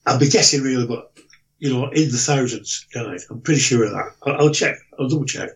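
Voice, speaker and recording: A man's voice: medium pitch, fairly quick. A man chatting casually in a hesitant, rambling way, telling anecdotes as a regular on a talk show. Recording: dull lo-fi voice connection.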